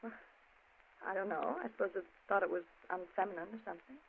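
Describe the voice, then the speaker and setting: A young woman confessing to a murder in a 1940s radio drama. She speaks softly and pleads. The sound is thin and tinny like an old radio broadcast. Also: medium pitch, quick pace, gravelly, husky. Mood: pleading. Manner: soft pleading, turns tearful.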